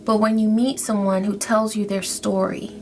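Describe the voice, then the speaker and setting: A woman's voice: medium pitch, level tone, normal speed, noisy background. A woman giving a spiritual tarot reading, speaking in a soothing, affirming way to her listener. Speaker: tarot reader. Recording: music playing behind.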